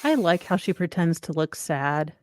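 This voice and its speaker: A woman's voice: on the low side, lively intonation, moderate pace, no echo, clean studio sound. A woman co-hosting casual commentary on a trial, chatty, with wry, opinionated asides.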